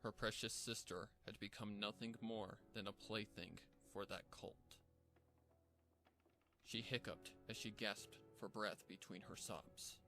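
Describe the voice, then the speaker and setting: A man narrates a story in a dramatic, scripted style. His voice sits fairly low, and music plays behind him. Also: steady tone, unhurried, sharp tone. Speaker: fan fiction narrator.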